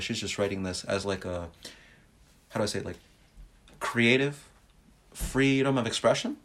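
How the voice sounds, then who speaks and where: A man co-hosting a casual music podcast, talking in a loose, conversational way with lots of "like" fillers. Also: lowish voice. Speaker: podcast co-host.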